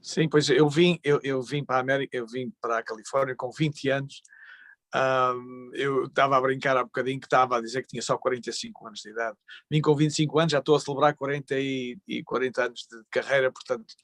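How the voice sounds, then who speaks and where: A man in his sixties from Portugal with a European Portuguese accent, a singer answering panel questions in a reflective, halting way. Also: medium pitch, fairly even intonation, a bit bright, no room sound. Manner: reflective, halting with many fillers and restarts. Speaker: fado singer and panel guest. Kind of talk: online panel interview.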